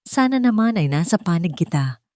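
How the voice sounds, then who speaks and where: Wealthy older mother for audio drama played by a woman, Filipino accent, gentle but icy and controlling, dry sound. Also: lowish voice, very animated, normal speed, no room sound. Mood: sweet, veiled threat. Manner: gentle surface with veiled coldness.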